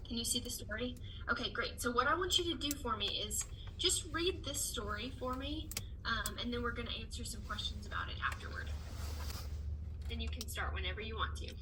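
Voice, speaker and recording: A woman teacher giving a child a one-on-one reading and spelling test over a video call, praising warmly and reading questions aloud slowly. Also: a little high, noisy background. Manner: encouraging, upbeat praise; reads questions and answer choices aloud slowly. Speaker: teacher giving a student an assessment. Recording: voice a bit far from the mic.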